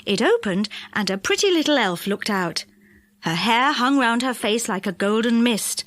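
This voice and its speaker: Female children's audiobook narrator: high voice, expressive, very varied pitch, dry close sound. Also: normal speed, no room sound. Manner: expressive, animated storytelling. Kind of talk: scripted children's story narration.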